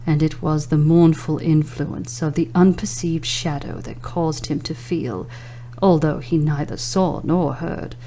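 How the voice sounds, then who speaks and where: A woman's voice: sits low, fairly even intonation, slight background noise. A woman voicing a nervous, gleefully cunning mad murderer in a theatrical, emphatic gothic horror reading. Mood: hushed menace. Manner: theatrical, drawn-out, emphatic, dropping into whispers. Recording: loud music under the voice. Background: loud instrumental music.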